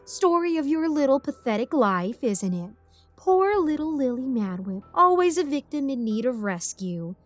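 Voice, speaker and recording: A gloating demon villainess, as a female narrator puts her on, cruel and mocking in a story. She stretches out her taunts in a high-set voice, with music underneath. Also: sing-song, moderate pace.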